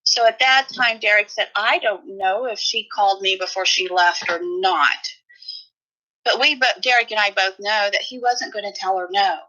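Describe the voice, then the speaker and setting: A woman's voice: mid-range voice, moderate pace, pushed and bright. A woman giving a conversational account in an interview as a relative, on a lo-fi clip.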